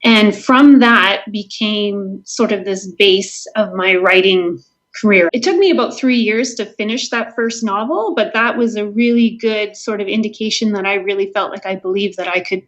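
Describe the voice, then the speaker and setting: A woman's voice: mid-range voice, moderate pace, fairly bright tone, poor audio. A woman from Southern Ontario with a Canadian accent, answering interview questions as an author in an earnest, enthusiastic, conversational way.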